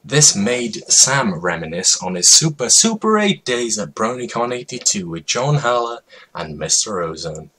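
A man with a husky voice giving a mock-dramatic, emphatic comedic reading of a story as its narrator. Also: medium pitch, sing-song, moderate pace, sharp tone.

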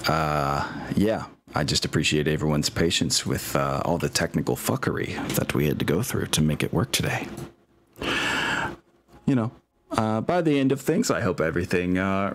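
A man's voice: low voice, big pitch swings, normal speed, slight background noise. A man, middle-aged, chatting casually and a bit ramblingly as he wraps up an online tabletop game as game master.

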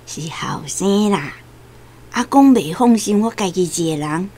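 A woman's voice: mid-range voice, lively intonation, a little slow, mellow. A woman playing an elderly, chatty, trusting Taiwanese grandmother in a radio drama, with a plaintive, folksy way of talking.

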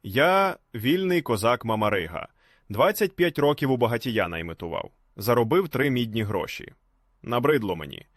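A bold Cossack hero from a storybook folk tale, acted by a male narrator. He is courteous and free-spirited, with a very animated melody to his speech. The sound is dry and close. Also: mid-range voice, normal speed, no room sound. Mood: friendly, courteous.

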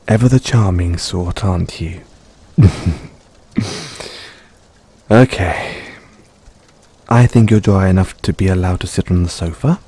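Middle-aged dad in love , played by a man, for audio roleplay: lowish, mellow voice, affectionate but self-doubting, hesitant and tender. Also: husky, heavily acted. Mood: teasing, fond. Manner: hesitant, tender, gently teasing. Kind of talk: intimate audio roleplay acting. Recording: rain-like ambience noise behind.

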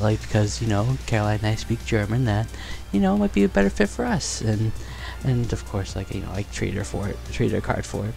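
A young man chatting casually and excitedly while opening trading cards in a bedroom, on a headset mic. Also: medium pitch, vocal fry. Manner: upbeat, chatty, excited exclamations. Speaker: card collecting vlogger. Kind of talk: casual unboxing chat. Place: bedroom studio, indoors.

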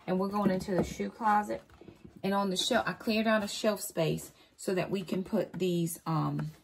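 A woman's voice: mid-range voice, fairly even intonation, normal speed, creaky, slight background noise. A woman in her forties chatting casually to camera as a home vlogger, upbeat and saying y'all, filmed in a walk-in closet at home.

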